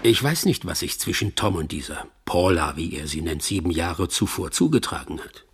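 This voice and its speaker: An actor playing a measured, sceptical middle-aged Hollywood agent who narrates a radio drama, choosing his words deliberately. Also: sits low, lively intonation, husky, exaggerated voice. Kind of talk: reflective first-person radio drama narration.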